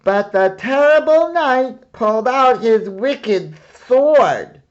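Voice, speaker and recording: A man voicing a brave storybook boy who reads an adventure tale aloud in a booming, dramatic voice. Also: animated, slow, muffled recording, heavily acted. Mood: bold, dramatic.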